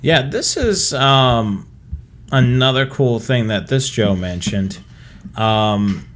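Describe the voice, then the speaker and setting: A man's voice: medium pitch, expressive, takes it slowly. A man co-hosting a hobby show, chatting casually and talking listeners through examples and advice.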